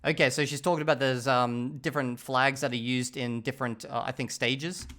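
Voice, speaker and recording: A man's voice: mid-range voice, steady tone, fairly quick, sharp tone. A man hosting a casual talking-head video, rambling and chatty, often stopping to check in with the viewer. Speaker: channel host reviewing his language learning.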